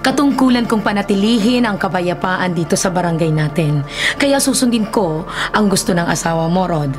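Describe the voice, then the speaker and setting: Woman as steady, reassuring village chief. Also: medium pitch, music in the background, lightly acted. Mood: reassuring, firm. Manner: reassuring, firm, measured. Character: steady, fair-minded female village chief. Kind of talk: Filipino radio drama acting.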